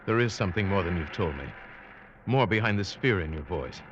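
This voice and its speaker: A man's voice: sits low, lively intonation, a little fast, noisy background. An actor playing a solitary, resolute seafaring man, earnest and brooding in an old radio adventure drama. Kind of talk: 1940s-50s radio acting and first-person narration. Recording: muffled old radio recording.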